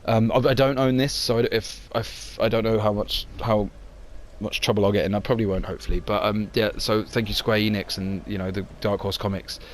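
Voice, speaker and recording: A man's voice: fairly low pitch, fairly even intonation, fairly quick, a little hiss or hum. A young man wrapping up his video in a casual, upbeat, rambling way with lots of fillers. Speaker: channel host. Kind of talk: casual outro to camera.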